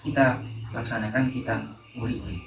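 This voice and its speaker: A man in his thirties with a Javanese accent, speaking haltingly as a guest in an informal panel talk. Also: mid-range voice. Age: 30s. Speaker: discussion guest. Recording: distant, echoey, noisy recording with insects.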